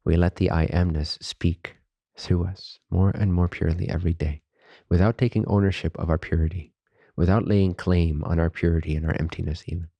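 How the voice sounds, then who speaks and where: A man leading a guided meditation as a teacher, speaking softly, slowly and calmly in reverent phrases with long pauses. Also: deep voice, dry recording, highs cut off. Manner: calm, unhurried, reverent spiritual phrasing.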